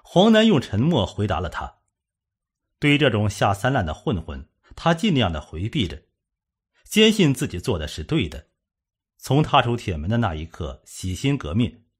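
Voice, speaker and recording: A man with a husky voice reading a scripted suspense story as an audiobook narrator. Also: medium pitch, wildly swooping pitch, normal speed.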